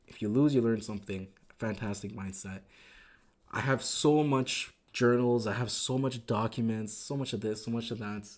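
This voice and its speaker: A man hosting a trading live stream, recapping the market in casual, upbeat talk that keeps asking "right?", his voice growing a little raspy. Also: on the low side, a little mellow. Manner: enthusiastic, repeats words, asks "right?" often. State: voice getting raspy.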